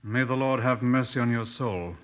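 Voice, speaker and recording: Male actor as composed British detective inspector, measured, formal, middle pitch, 1950s radio play, muffled sound. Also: close to an everyday voice. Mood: grave, reflective. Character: composed, probing Scotland Yard police inspector. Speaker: actor in a radio crime drama. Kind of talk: 1950s radio drama acting and narration.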